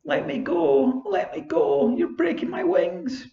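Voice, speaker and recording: A man voicing a small, frightened, kind female fairy in a high, pleading voice for a storybook reading. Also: normal speed, creaky, a little mellow, roomy sound, highs cut off.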